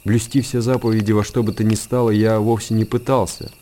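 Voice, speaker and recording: An actor playing an aging, world-weary hermit monk in a radio monologue, speaking in a measured, pensive and solemn way. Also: male voice, on the low side, flat delivery, dark tone, a little hiss or hum, natural voice. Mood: contemplative.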